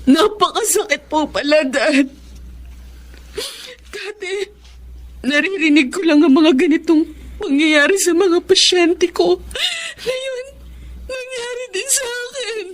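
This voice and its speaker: A woman's voice: very high-pitched, slow, long pauses. A woman playing a betrayed, heartbroken wife and mother in a Filipino radio drama, with a Filipino accent and an emotional, pleading delivery. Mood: tearful anguish.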